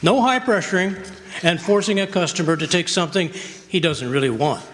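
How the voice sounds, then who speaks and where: A man's voice: high voice, fairly quick, a bit bright, slight background noise. A man playing a grand, generous department store owner in a radio drama, speaking in expansive declarations. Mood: pleased, expansive. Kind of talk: stage radio drama acting. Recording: live hall reverb.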